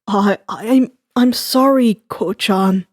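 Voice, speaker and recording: Woman doing a gruff, lowered male underworld broker. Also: slow, dry recording, studio quality. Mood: sheepish, apologetic.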